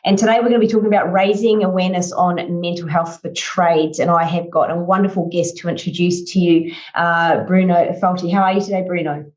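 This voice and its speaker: A woman's voice: lowish voice, fairly even intonation, fairly quick, hardly any pauses, muffled recording. A woman hosting a podcast, upbeat, introducing her guest and summing up his answers. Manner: upbeat, warm interviewer who summarises answers. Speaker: podcast host.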